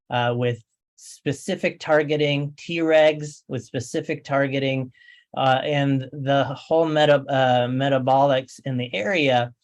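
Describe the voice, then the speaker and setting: A man with an American accent giving an online medical lecture as an oncologist, measured and technical with frequent filler sounds. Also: mid-range voice. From USA. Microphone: remote talk, webinar audio.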